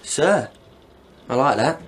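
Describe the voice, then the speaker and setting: A man's voice: medium pitch, expressive, slow, background noise. A man acting in a radio drama as a cocky, surly young army deserter on the run. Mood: defiant. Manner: cocky, surly, pleading and threatening.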